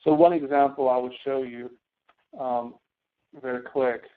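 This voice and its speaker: A man teaching step by step as an instructor in a screen-share tutorial, halting and checking with questions. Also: medium pitch, fairly even intonation. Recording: tinny phone-like online meeting audio.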